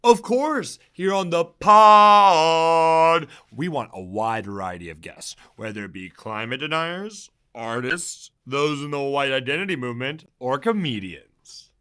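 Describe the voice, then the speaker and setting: A man playing a brash, over-eager young male podcast host, loud and pitched up. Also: lively intonation, measured pace, hardly any pauses, clear, resonant tone. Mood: hyped.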